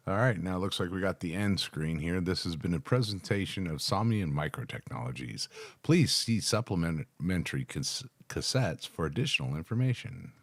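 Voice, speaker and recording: Low-pitched middle-aged American man. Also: animated, normal speed. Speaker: reaction video host. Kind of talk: casual live reaction, reading text aloud with asides. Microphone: close microphone on a boom arm, headphones on.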